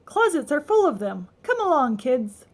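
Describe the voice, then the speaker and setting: A woman reading aloud as a cheerful, eager, literal-minded housekeeper in a children's storybook, brisk and matter-of-fact. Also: high-pitched, very animated, voice pushed up.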